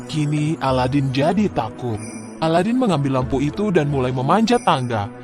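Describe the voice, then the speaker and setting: A man narrating a scripted children's fairy tale in an expressive, dramatic way. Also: a little high, moderate pace. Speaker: storyteller. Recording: music behind the voice.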